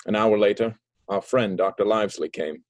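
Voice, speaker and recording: A man narrating a story in an even, storytelling manner. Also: on the low side, normal speed, no room sound.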